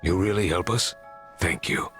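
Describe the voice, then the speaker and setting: An actor playing a dutiful resistance commander in a fantasy video game, serious and measured. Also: male voice, low-pitched, a bit bright, faint music behind. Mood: sober, regretful.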